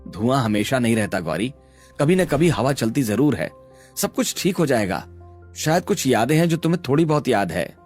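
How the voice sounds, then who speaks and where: Man acting a devoted young husband, animated pitch. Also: moderate pace, soft background music. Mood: soothing. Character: young devoted husband, soothing and gently teasing. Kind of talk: Hindi cartoon story voice acting.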